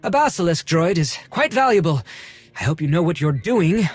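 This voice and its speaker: A prim, haughty collector from a video game. His voice sits high and moves animatedly up and down, with fussy, formal phrasing. The recording is dry and close. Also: sing-song, normal speed, slightly rough, dry recording. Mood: haughty, guarded. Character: fussy, haughty male collector and droid restorer.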